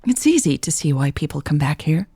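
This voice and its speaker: A friendly, flirty woman customer from a romance audiobook , as a female narrator plays her. She is chatty and eager, with a husky, gravelly edge to her voice. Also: deep voice, very animated, fairly quick, warm tone, dry recording, exaggerated voice. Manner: chatty, enthusiastic.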